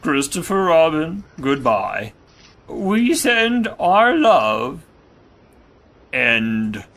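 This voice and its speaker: Male actor as a self-important, grumbling donkey poet for children's audio play; dragging delivery, lively melodic swings. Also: a little high, slight background noise, heavily acted. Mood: gloomy, reciting. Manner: ponderous, halting, grumbling. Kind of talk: children's audio drama acting.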